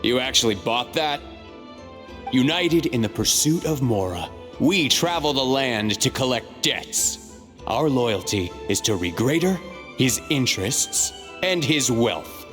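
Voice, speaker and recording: A man's voice: medium pitch, lively intonation, unhurried, a bit bright. A man voicing a cold, mercenary male debt collector in a game, mocking and businesslike. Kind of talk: game cutscene voice acting. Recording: game audio with music.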